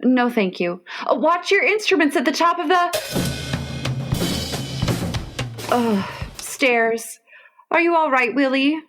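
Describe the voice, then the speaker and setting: A woman playing a patient, soothing, long-suffering wife in a comic radio play, gentle and placating. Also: sits high, lively intonation, a little slow, music in the background. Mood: gentle concern. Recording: noisy remote home recording with clicks.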